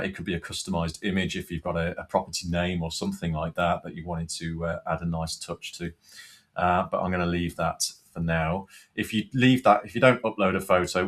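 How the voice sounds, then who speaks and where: A man giving an informal, unscripted product tutorial, explaining things step by step. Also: low-pitched. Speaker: product demonstrator.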